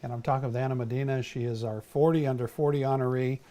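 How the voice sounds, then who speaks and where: Mellow-voiced male talk show host. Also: mid-range voice, fairly even intonation, fast. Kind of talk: interview and show announcements.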